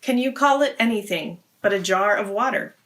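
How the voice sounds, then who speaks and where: A woman's voice: mid-range voice, animated, normal speed, fairly bright tone, slight background noise. A woman hosting a read-aloud, narrating a story for children.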